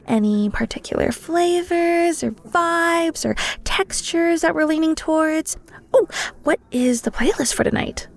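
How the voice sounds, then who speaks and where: A woman's voice: high-pitched, vocal fry, exaggerated voice. A woman playing an enthusiastic, flirty cheesemonger in a roleplay audio, chatty and theatrical, talking straight to the listener. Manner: playful, theatrical, chatty, with flustered stammers. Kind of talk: roleplay audio drama, one-sided conversation with the listener.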